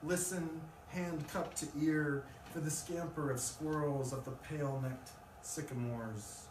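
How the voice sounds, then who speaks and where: A male poet speaks at a live reading in a room. His voice is picked up from a distance with plenty of echo. There is clear, loud noise over the recording. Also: fairly high pitch, steady tone, unhurried. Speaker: poet reading own work. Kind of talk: live poetry reading with casual introduction. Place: live event in a room with an audience.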